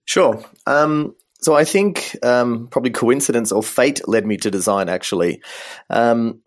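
A man's voice: mid-range voice, measured pace. A man in his thirties with an Australian accent, a guest telling his career story in an interview, thoughtful and full of ums and sort-ofs. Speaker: guest, business designer. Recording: muffled mic from beard rubbing.

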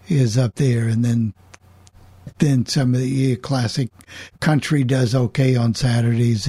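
A man hosting a casual radio panel discussion, talking in a halting, repetitive way. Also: medium pitch, warm tone, breathy edge, no echo. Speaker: host of an online radio coffee club show.

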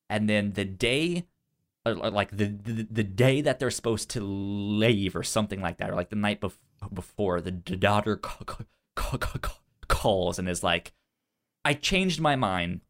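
A man co-hosting a casual movie podcast, speaking in a halting way with stammered, repeated syllables. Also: fairly low pitch. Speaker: podcast co-host.

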